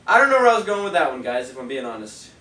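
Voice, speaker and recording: A man's voice: mid-range voice, sing-song, very fast, pushed and bright, roomy sound, recorded from a distance. A man reviewing party speakers in a loose, rambling way, sniffly and a little nasal from a cold. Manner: rambling, loose, many fillers. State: stuffy nose, sniffly. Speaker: product reviewer. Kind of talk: casual product review.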